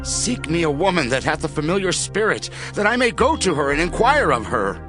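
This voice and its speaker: A man's voice: on the high side, big pitch swings, fairly quick. An actor playing a desperate, fearful king with an impassioned, theatrical delivery in a scripture drama. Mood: urgent, pleading. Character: desperate, fearful king seeking forbidden counsel. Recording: music bed behind the voice.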